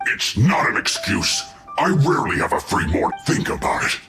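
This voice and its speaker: A man's voice: on the low side, sing-song, moderate pace, sharp tone. An actor playing an aggrieved, defensive soldier henchman in a video game, protesting with exaggerated indignation. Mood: defensive, pleading. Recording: echoey, music behind voice. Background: game music.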